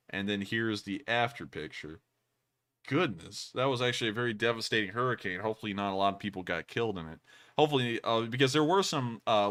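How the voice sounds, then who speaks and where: A young man co-hosting a live stream, talking in a highly fluctuating, high-pitched voice. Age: young adult. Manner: animated, high-pitched, swooping delivery.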